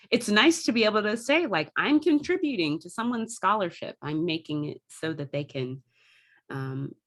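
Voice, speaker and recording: Woman, lower-pitched voice, casual discussion, enthusiastic, exploratory, theatre director. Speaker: theatre company artistic director and performer.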